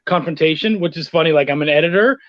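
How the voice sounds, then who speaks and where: A man's voice: a little high, fast, lo-fi sound, slight background noise. A man speaking as a guest in a casual chat, earnest and conversational, over an online call. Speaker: guest, freelance comics editor.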